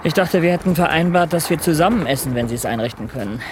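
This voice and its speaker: An actor playing a courteous but firm ship's captain in a German radio drama, speaking formally and with authority. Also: male voice, on the high side, expressive, fast, noisy background. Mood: firm, probing.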